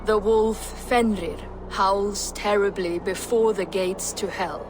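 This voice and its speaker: An actress playing a grave seer of doom in a Norse video game, speaking like an oracle. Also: female voice, medium pitch, fairly even intonation, noisy background, lightly acted. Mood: ominous. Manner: slow, oracular. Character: grave female Norn seer foretelling doom. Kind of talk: dramatic video game acting.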